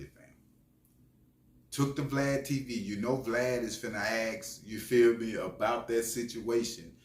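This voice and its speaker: A man giving opinionated, preachy street-slang commentary as a channel host, speaking emphatically. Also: on the low side. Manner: preachy, emphatic, often tagging phrases with slang address. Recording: echoey room sound.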